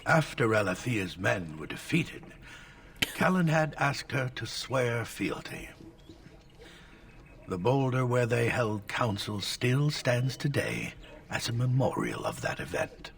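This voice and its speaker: An actor playing an elderly scholarly tutor in a video game, lecturing formally and at length, stern and easily exasperated. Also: male voice, medium pitch, sing-song, slow pace, gravelly, a bit bright, recorded from a distance, full character voice.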